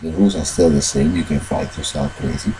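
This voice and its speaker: A man telling a long, rambling personal story in halting English, with many fillers and loose grammar. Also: a bass voice, fairly even intonation, noisy background. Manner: retells events with shifts into quoted dialogue.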